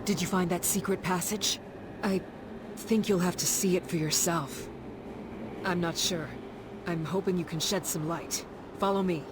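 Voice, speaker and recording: An actress playing a warrior's earnest, caring female companion in a video game, gentle, serious and measured. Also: on the low side, fairly even intonation, noisy background, lightly acted. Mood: urgent, uncertain.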